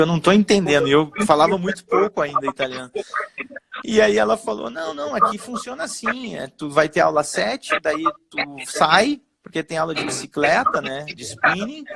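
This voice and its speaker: A man's voice: high-pitched, vocal fry, rough recording. A man who works as a personal trainer, telling his story casually and acting out the dialogue. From Brazil. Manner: animated storyteller, acts out dialogue.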